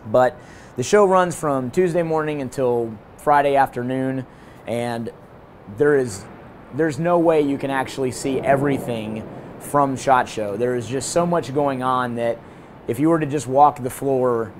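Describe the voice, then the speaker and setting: A man in his thirties with a hoarse voice, hosting a casual gear review vlog from a hotel room and balcony. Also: mid-range voice, measured pace, a little hiss or hum. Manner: energetic, talks with his hands. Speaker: host.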